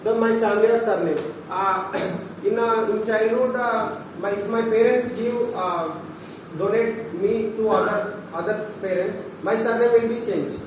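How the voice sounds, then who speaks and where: Young indian guy, telugu accent, high voice, halting seminar talk, lots of uhs, noisy background. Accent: Indian English, Telugu speaker. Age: young adult. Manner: halting, many restarts and fillers. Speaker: philosophy student presenting at a seminar. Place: seminar room. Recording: echoey room, mic far off, noisy.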